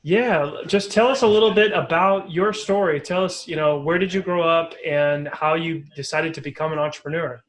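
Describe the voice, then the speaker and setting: Upbeat male podcast host, slightly high. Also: moderate pace, hardly any pauses. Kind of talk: scripted intro, then interview questions.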